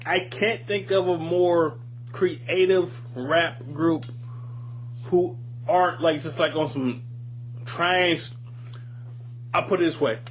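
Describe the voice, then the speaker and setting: Casual higher-voiced man, muffled humming recording. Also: slow pace, pauses often. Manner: profane, informal slang. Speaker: podcast host. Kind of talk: casual opinionated chat. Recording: muffled lo-fi audio with hum, hiss.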